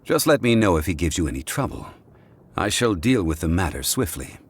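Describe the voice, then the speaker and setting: An actor voicing a calm, ancient, scholarly male god in a video game, speaking in a measured, formal and eloquent way. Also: sits low, breathy edge, heavily acted. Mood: calm, conversational. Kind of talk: scripted video game character voice lines.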